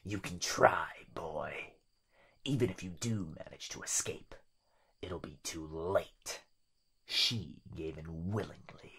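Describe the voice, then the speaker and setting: A sinister living shadow in a yandere ASMR roleplay. The voice is very breathy and husky, often sinking toward a whisper. It drawls slowly with a mocking, possessive tenderness and predatory menace. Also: male voice, deep voice, sharp tone, heavily acted. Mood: possessive, threatening.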